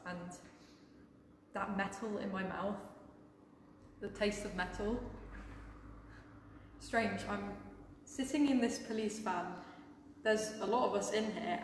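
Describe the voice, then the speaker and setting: An actress playing a young election observer, hurt and dazed, talking slowly. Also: female voice, medium pitch, slight background noise, close to an everyday voice. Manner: slow, dazed monologue. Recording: echoey hall from far off.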